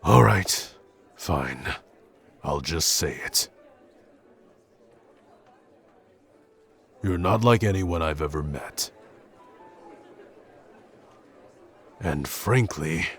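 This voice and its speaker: A man playing a gruff, awkward young tsundere prince who speaks haltingly and grudgingly in an intimate roleplay audio addressed to the listener. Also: low-pitched, expressive, heavily acted. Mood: awkwardly confessing. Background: background music.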